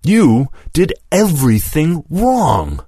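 A man voicing Lewis, an angry, vengeful former comrade who shouts accusations and whose voice cracks. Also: sits low, expressive, normal speed, husky, dry recording, clean studio sound, full character voice. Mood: furious shouting.

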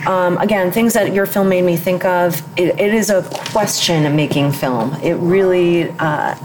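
A woman's voice: fairly low pitch, a little slow, music in the background, low-quality recording. A woman, an English speaker, interviewing a filmmaker in a reflective, musing way, full of rhetorical questions. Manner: thoughtful, musing, many fillers and rhetorical questions. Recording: noticeable background noise.

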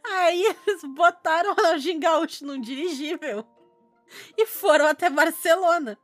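A woman with a southern Brazilian accent from Rio Grande do Sul, co-hosting a casual podcast and telling anecdotes in animated, slangy speech. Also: very high voice, normal speed, faint music behind. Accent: southern Brazilian Portuguese from Rio Grande do Sul. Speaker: podcast co-host. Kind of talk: casual chat, storytelling.

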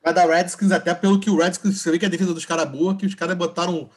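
A man's voice: high-pitched, flat delivery, very fast. Playful man hosting a sports chat. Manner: playful, teasing, hands off questions. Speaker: podcast host leading the segments.

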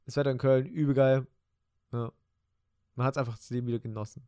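A young man co-hosting a casual podcast chat, slangy and excitable, laughing often. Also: medium pitch, fast talker, clear, resonant tone, dry recording, studio quality. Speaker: podcast co-host.

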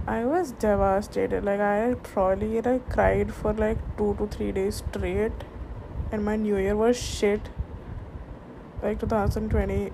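A young woman vlogging late at night at home, speaking in a hushed voice and rambling with many fillers and restarts. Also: mid-range voice, gravelly, noisy background. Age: young adult. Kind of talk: late-night casual chat.

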